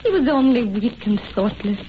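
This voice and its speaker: A woman's voice: fairly high pitch, lively intonation, moderate pace, close to an everyday voice. An actress playing a devoted, yearning young Irish woman, earnest and melodramatic, in an old-time radio horror play. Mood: wistful. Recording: dull old radio recording, faint noise.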